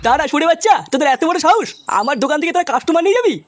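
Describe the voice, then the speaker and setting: A hot-tempered cartoon tea stall owner, a scheming man acted out by a woman. The voice is high and races along with coarse bluster, over faint background noise. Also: animated, racing. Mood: angry, scheming. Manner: coarse, foul-mouthed bluster. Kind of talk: comedy cartoon dubbing.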